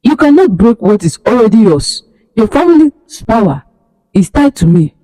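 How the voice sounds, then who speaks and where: A woman voicing a malicious dark spirit that taunts and threatens. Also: fairly high pitch, sing-song, normal speed. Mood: menacing. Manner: menacing, taunting. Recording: long hall reverb.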